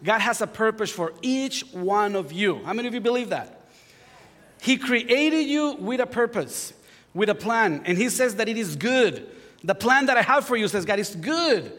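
A man's voice: high-pitched, expressive, moderate pace. A man in his forties from Honduras with a Honduran Spanish accent, preaching a sermon as pastor, joking about himself and building to fervent exhortation. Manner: warm self-deprecating humor, rising to fervent preaching. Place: church sanctuary. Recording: long hall reverb.

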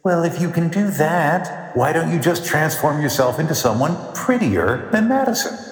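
A man's voice: on the high side, animated, moderate pace, natural voice. A man playing a brutally honest magic mirror in a comic radio play, shifting between mock-solemn grandeur and wry, casual remarks. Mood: wry, needling. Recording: echoey, long hall reverb.

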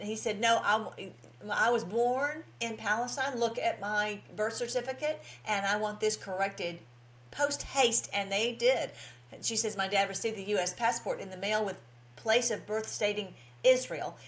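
A woman's voice: mid-range voice, a bit bright. A woman speaks as the host of her own commentary show, with a mid-range voice. She talks informally and directly to followers in a folksy way, leaning on key phrases and repeating them for emphasis. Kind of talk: informal political commentary to followers, reading out posts.

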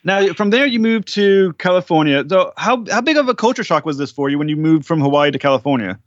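A man's voice: fairly high pitch, lively intonation, quick pace, no room sound. Chatty male interviewer, dull dry sound. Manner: chatty, laughing, long wandering questions. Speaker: podcast interviewer. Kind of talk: casual interview chat.

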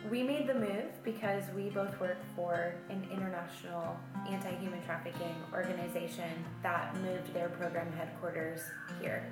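A woman explaining clearly why she and her husband moved for work. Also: fairly low pitch, steady tone, normal speed, hardly any pauses. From United States. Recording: echoey room with loud guitar music.

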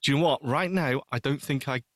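A man's voice: mid-range voice, a little fast, fairly bright tone, no room sound, studio quality. A man talking casually and earnestly as a panelist on a podcast, with an emphatic way of putting things.

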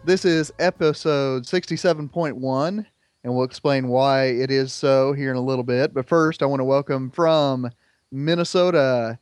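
A man's voice: medium pitch, moderate pace, no echo. Thirties male podcast host, easygoing chat, clean resonant tone, close dry mic. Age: 30s. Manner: relaxed, chuckling, conversational. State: slightly hoarse. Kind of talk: casual chat.

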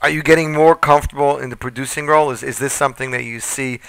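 Radio host guy, bright crisp voice, dry booth, gushing with questions. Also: mid-range voice, fairly even intonation, fast, no echo. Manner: chatty, enthusiastic, stacks up questions. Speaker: radio interviewer. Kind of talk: radio interview.

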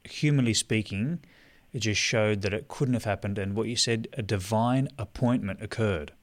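A man's voice: lowish voice, moderate pace. Man asking probing interview questions. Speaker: host.

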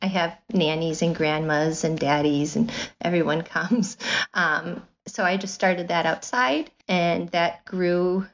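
A woman's voice: fairly low pitch, fairly even intonation, takes it slowly, muffled recording. A woman in her thirties from Illinois with a Midwest accent, chatting as an interview guest, often laughing and saying "like" and "you know". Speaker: guest, nature preschool founder. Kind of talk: casual interview.

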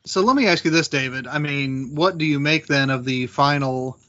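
A man with a bright, forward voice of medium pitch debates on a panel. He is probing and conversational, pushing back on ideas, on a slightly dull recording. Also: normal speed. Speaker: podcast panelist.

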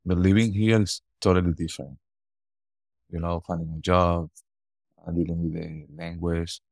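Deep-voiced Venezuelan man, flat and earnest. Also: vocal fry, no room sound. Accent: Venezuelan Spanish. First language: Spanish. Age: 40s. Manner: earnest, halting, repeats words while finding phrasing. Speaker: guest. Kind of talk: interview answers.

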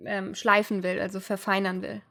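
A woman's voice: medium pitch, level tone, moderate pace, vocal fry, a bit bright. A woman hosting an interview, chatty and explanatory, often laughing lightly. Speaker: interview host, language teacher. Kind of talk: casual interview conversation.

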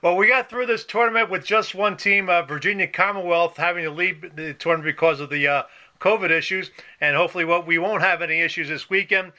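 A man hosting a sports podcast interview, speaking somewhat haltingly as he puts his questions. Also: sits high, pushed and bright.

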